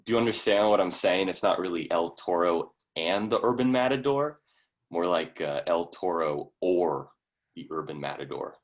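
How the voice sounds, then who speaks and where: Low-voiced, blunt man acted in a play. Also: lively intonation, moderate pace. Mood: awkward, frank. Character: blunt, awkwardly tactful male investor friend. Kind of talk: staged play reading.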